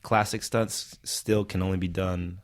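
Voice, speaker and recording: Low-voiced man chatting casually. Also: little pitch movement, a little slow. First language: Vietnamese. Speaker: guest stunt performer. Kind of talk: casual podcast conversation.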